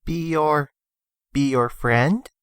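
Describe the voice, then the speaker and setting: A man voicing an anxious, childlike young male pony in a cartoon-based audio story, haltingly echoing words. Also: fairly high pitch, fairly bright tone, clear, resonant tone, clean studio sound. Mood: shy, hesitant. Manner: halting, repeating others' phrases.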